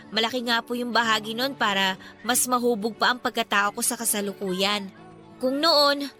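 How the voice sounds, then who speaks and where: A woman's voice: fairly high pitch, sharp tone. A woman acting a cheerful, devout daughter in her twenties in a Filipino radio drama, with a playful, respectful and earnest manner and a Filipino accent. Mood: humble, grateful. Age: 20s. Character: cheerful, devout, teasing 28-year-old daughter.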